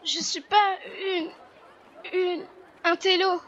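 A woman's voice: high-pitched, fairly even intonation, normal speed, a bit bright, some chatter behind, a little hiss or hum. A young girl acting a timid, hesitant bullied bookish teenager in a school audio drama. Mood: protesting. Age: child, early teens. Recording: dull lo-fi school recording.

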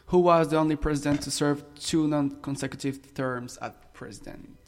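A man's voice: a little high, fairly even intonation, normal speed. Teen boy, quiz host, long room reverb, music playing behind. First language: French. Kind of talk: school radio role-play quiz.

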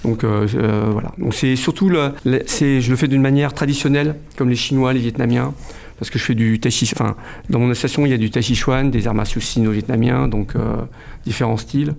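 A man's voice: mid-range voice, fairly even intonation, a little fast, vocal fry, a little mellow. A middle-aged man from France, a kung fu club president, speaking hesitantly in a casual radio interview, with many fillers and restarts.

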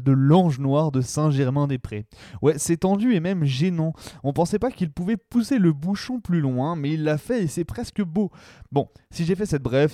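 A man's voice: fairly high pitch, mellow, no echo, studio quality. A man presenting scripted radio commentary in a slangy, colloquial, sardonic way.

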